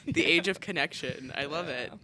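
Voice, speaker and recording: A woman interviewing a band, upbeat with quick, eager reactions. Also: low voice, a crowd in the background, low-quality recording. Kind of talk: casual interview.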